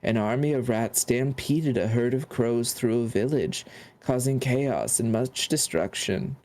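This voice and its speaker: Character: a man putting on an ancient male mouse keeper of books, wise, fussy and absent-minded. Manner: doddering, humming and muttering. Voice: mellow and soft. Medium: read-aloud storybook. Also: mid-range voice, measured pace, natural voice. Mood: stern.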